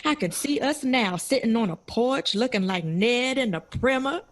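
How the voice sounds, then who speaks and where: A woman's voice: a little high, expressive, moderate pace, natural voice. A woman playing a loving, protective mother of a grown son in an audio drama, tender and emphatic. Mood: thrilled, proud. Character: loving, protective, self-sacrificing mother of a young man.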